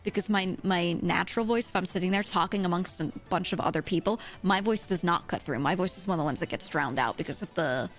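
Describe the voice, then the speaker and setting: A woman's voice: lowish voice, fast talker, hardly any pauses. A woman livestream host with a thin voice, chatting casually and animatedly to her viewers in a rambling, giggly way, close on a streaming mic. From US East Coast. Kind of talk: casual livestream chat, reading and answering viewers' comments. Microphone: close streaming microphone. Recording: music playing behind the voice. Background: background music.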